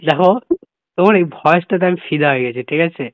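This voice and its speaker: A man's voice: a little high, quick pace, dry recording. A man sweet-talking and coaxing on a prank phone call, often checking in with the listener. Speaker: prank caller. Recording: dull lo-fi call recording.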